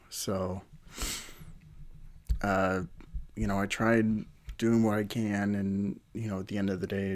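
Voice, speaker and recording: Low-voiced, slightly nasal man chatting casually. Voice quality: slightly nasal from a runny nose. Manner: rambling, hesitant, conversational. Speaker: podcast host. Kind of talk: casual solo podcast chat.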